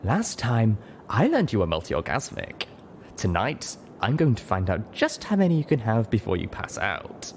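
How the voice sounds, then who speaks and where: A man's voice: lowish voice, wildly swooping pitch, moderate pace, a little hiss or hum. A man voicing an affectionate, playfully seductive female unicorn with a coy, teasing manner, in an audiobook story. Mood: sly, teasing.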